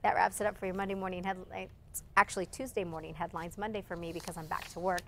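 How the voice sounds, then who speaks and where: A woman news anchor reading morning headlines briskly, with light friendly banter and a slightly scratchy voice. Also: lowish voice, level tone, gravelly. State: scratchy voice from allergies.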